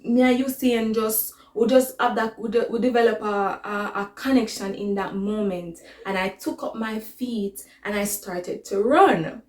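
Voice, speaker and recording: A woman's voice: medium pitch, steady tone, unhurried, few pauses, roomy sound. A woman with a Jamaican accent telling a personal story in a casual, dramatic way, slipping into patois. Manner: dramatic retelling that slips into patois.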